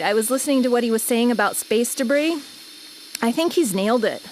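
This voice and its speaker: A woman's voice: a little high, a little hiss or hum, lightly acted, low-quality recording. A woman playing a conversational, slightly uneasy field reporter describing a scene live in a radio drama.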